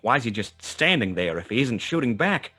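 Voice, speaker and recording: An actor playing a world-weary, wry, tough private detective who narrates a 1920s hard-boiled radio play in a clipped, dramatic manner. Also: male voice, medium pitch, very animated, a little fast, pushed and bright, breathy edge, faint music behind, heavily acted. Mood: tense, gritty.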